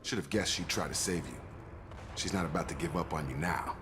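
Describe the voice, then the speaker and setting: An actor playing a steady, loyal young soldier in a video game, plain-spoken and informal with an American accent. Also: male voice, very deep voice, fairly quick, noisy background. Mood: matter-of-fact, explaining. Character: steady, loyal male soldier and station security chief.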